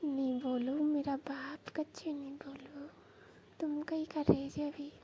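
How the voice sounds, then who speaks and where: A woman's voice: high-pitched, level tone, measured pace, heavy background noise. A woman playing a coy village sister-in-law on a phone call, with a Rajasthani accent and a languid, teasing manner. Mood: fond. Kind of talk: staged phone call, casual chat.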